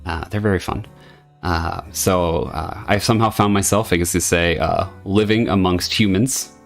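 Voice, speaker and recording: A man with a low, gravelly voice talks in a loose, rambling way, pausing often as he gathers his thoughts. His tone is earnest and friendly, with soft music playing faintly behind him. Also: steady tone. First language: English. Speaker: streamer. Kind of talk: casual debut stream chat.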